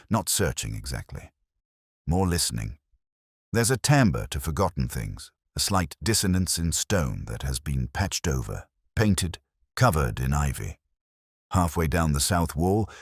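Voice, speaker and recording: A man with a gravelly, husky voice reads a scripted first-person detective story in a measured, brooding, literary way. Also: very deep voice, dry recording, studio quality.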